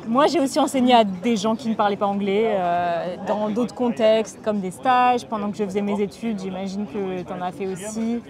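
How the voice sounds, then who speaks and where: A woman's voice: medium pitch, normal speed, hardly any pauses, crowd noise. A woman of thirty from Bordeaux who hosts and interviews a guest in a friendly, chatty way, with plenty of fillers. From Bordeaux, France. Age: 30s. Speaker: host. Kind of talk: casual conversational interview.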